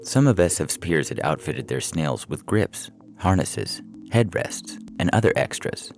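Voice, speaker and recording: A man's voice: sits low, lively intonation, a little slow, vocal fry. A man reading a humorous science fiction story as a scripted narration, with music playing behind him.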